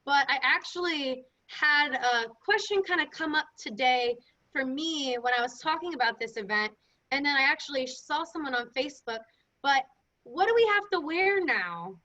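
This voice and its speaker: A woman with a high, bright voice hosts in a casual interview style. Her phrasing is informal and loose as she puts questions and introduces segments. The recording is a little dull and lo-fi. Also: animated, moderate pace. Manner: informal, hedging with kinda and like. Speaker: event host. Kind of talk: casual interviewing and event hosting. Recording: dull, muffled lo-fi sound.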